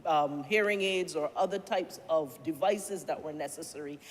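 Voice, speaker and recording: A middle-aged government minister with a Barbadian accent gives a formal, deliberate parliamentary speech, often addressing the chair and building points through repeated questions. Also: mid-range voice. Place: parliament chamber. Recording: long-reverb chamber with faint noise.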